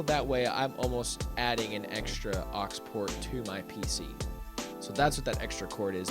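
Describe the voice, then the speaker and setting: A man giving an instructional tech tutorial, explaining things step by step. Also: lowish voice. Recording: music behind the voice. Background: background music.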